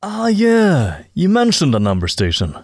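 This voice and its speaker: Male tech guy, audio drama: casual, easygoing, lively intonation, clear background noise. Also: high voice, a little slow, heavily acted. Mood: laid-back.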